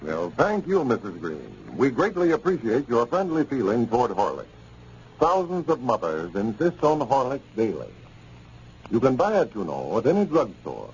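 An old, muffled 1930s radio recording of a male announcer. His mid-pitched voice swoops widely up and down as he delivers his announcements in period broadcast style. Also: huge pitch swings, moderate pace, a little mellow, a little hiss or hum.

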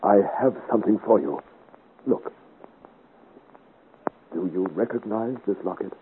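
An actor playing an earnest, gentle adventurer hero in a 1950s radio serial, speaking in a solemn, formal and careful way. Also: male voice, on the low side, unhurried, close to an everyday voice. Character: earnest, gentle young adventurer hero of 17th-century France. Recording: scratchy, noisy, tinny 1950s radio recording.

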